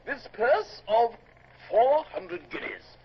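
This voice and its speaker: An actor playing a genteel, fussy British lord in a radio drama, halting and ceremonious as he speaks. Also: extremely high pitch, animated, vocal fry, husky, full character voice. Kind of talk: vintage radio drama acting. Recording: dull, faintly noisy old radio recording.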